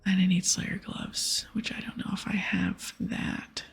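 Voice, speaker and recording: A woman softly whispering casual ASMR game commentary, thinking aloud as she plays. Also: on the low side, little pitch movement, unhurried, vocal fry, husky. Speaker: ASMR gaming channel host. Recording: soft music behind.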